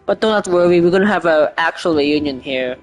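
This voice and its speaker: A woman streaming a game with casual, excitable fan commentary. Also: fairly low pitch, fairly quick, faint music behind.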